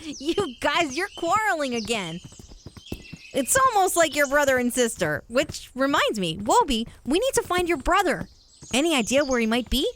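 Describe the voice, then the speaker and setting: A woman's voice: high-pitched, very animated, moderate pace, a bit bright, a little hiss or hum. A woman playing a sensible, thoughtful young boy who keeps the peace in a children's audio drama. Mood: practical.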